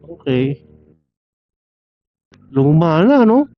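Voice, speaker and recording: A man's voice: a little high, sing-song, extremely slow, a little hiss or hum. A man chatting and laughing with a friend over a helmet intercom while riding a motorcycle. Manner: laughs often, chatty, teasing. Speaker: motorcycle rider. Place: on a motorcycle on the road. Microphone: helmet intercom headset. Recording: muffled lo-fi intercom audio with faint music.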